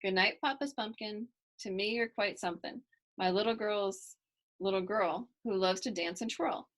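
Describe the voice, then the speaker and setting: Woman reading as a gentle, loving grandpa. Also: mid-range voice, moderate pace, close to an everyday voice. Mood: affectionate. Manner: tender, reassuring. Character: gentle, wise, loving grandfather in children's storybook.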